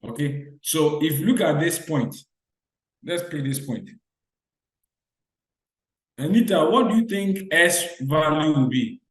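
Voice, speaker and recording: A man's voice: fairly high pitch, lively intonation, slow, long pauses. Man lecturing in an echoey room. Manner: repetitive call-and-response questioning. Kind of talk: online biostatistics lecture.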